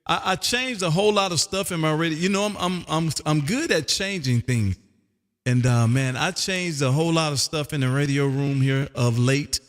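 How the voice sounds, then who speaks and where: A man's voice: fairly high pitch, moderate pace, long reverb tail. An American man hosting a casual amateur radio chat in a folksy way, often repeating his phrases. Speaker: amateur radio operator and live stream host.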